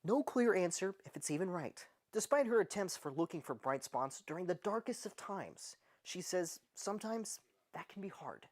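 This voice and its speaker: A woman reporter reading a scripted news voice-over in a measured, emphatic way. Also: on the low side, animated, a little mellow.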